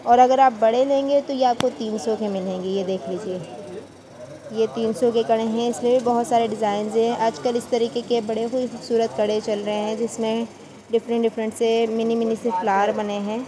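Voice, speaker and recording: A woman's voice: a little high, fairly even intonation, normal speed, crowd noise, low-quality recording. A woman with a Pakistani Urdu accent touring market stalls on camera, chatty and enthusiastic, asking shopkeepers about prices. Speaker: shopping vlogger. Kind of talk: market tour vlog. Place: busy indoor market shops. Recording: market noise behind voice.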